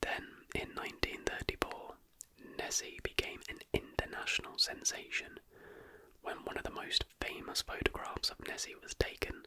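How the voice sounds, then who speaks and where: An ASMR host reading scripted narration in a breathy, unvoiced whisper with soft, deliberate enunciation.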